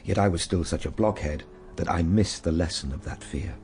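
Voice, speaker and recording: An actor playing an earnest, reflective gentleman time traveller in a radio drama, moving between measured storytelling and simple, tender coaxing. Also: low-pitched, a little hiss or hum, lightly acted. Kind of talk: radio drama narration and acting.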